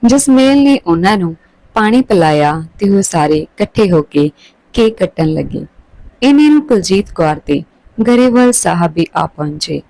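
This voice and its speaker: Mellow, lower-pitched woman telling a story. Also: sing-song, normal speed, gravelly, no room sound, rough recording. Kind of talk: scripted story narration.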